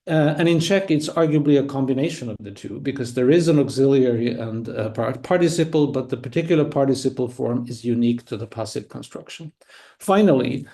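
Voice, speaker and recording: A man whose first language is Swedish, giving an academic conference talk online in a measured, explanatory way with small restarts. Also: medium pitch, dark tone. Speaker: conference speaker.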